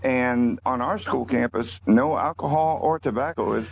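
A man's voice: mid-range voice, normal speed, vocal fry, over a noisy crowd. An American man chatting casually on a group call, plainspoken and opinionated. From United States. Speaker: club participant, alumni president.

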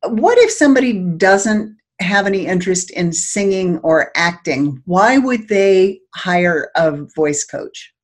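A woman's voice: medium pitch, lively intonation, slow, hardly any pauses. A woman hosting an interview, asking short questions and prompting her guest attentively.

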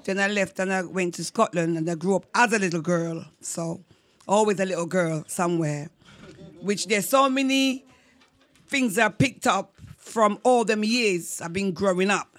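A Jamaican woman telling a childhood folk story as a guest, rambling and warm, breaking into singing. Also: mid-range voice, moderate pace.

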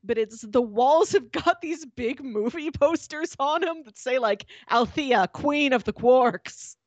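A woman's voice: high-pitched, measured pace, no room sound. A woman co-hosting a podcast, talking casually and with animation about a TV show. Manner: animated, laughs while talking. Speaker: podcast co-host.

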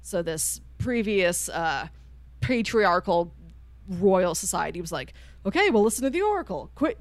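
Female podcast co-host, conversational and animated, medium pitch, very dry sound. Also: a little slow, no echo. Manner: animated storyteller, acting out quoted lines informally. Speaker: podcast co-host reviewing books. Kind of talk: casual conversational book discussion.

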